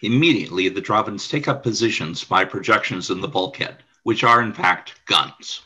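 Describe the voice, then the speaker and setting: Male radio play narrator: lowish, husky, forceful, scripted scene-setting. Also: normal speed.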